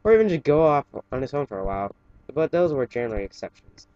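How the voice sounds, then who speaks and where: A man casually reading fan fiction aloud in a halting way, stumbling and correcting himself, with chatty asides. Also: medium pitch, big pitch swings, darkish tone, no room sound, dull audio.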